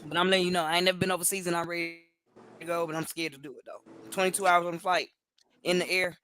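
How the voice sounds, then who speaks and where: A woman from Cleveland co-hosting a casual livestream chat from home over a video call, animated and laughing often. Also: fairly low pitch, fast talker, slight background noise. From Cleveland, Ohio. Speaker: co-host.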